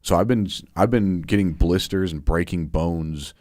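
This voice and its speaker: A man's voice: low voice, unhurried, a little mellow, dry recording, studio quality. A man co-hosting a livestream chat, talking casually with wry, joking asides. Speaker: co-host.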